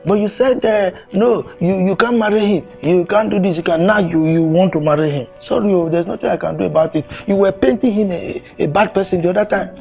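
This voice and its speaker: An actor playing a family elder who reasons and reproaches in turn. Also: male voice, sits high, brisk, creaky, hardly any pauses, phone-quality sound, faint music behind. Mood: reproachful.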